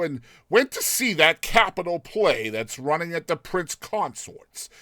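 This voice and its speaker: A man voicing an excitable, boastful male university student in love, gushing and exclaiming in a storybook reading. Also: sits high, no echo, studio quality, pitched above the performer's own voice, exaggerated voice.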